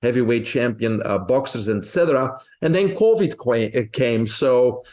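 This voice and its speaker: A man's voice: mid-range voice, lively intonation, normal speed, darkish tone. A man in his fifties with a Belgian accent, answering interview questions conversationally as a health coach and speaker. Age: 50s.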